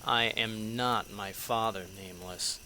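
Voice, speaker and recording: A man's voice: on the low side, fairly even intonation, unhurried, sharp tone, a little hiss or hum. A man playing a sardonic, defiant soldier in a fan audio drama, with a dry, terse, sarcastic delivery. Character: sardonic, defiant male soldier, a shaman's son.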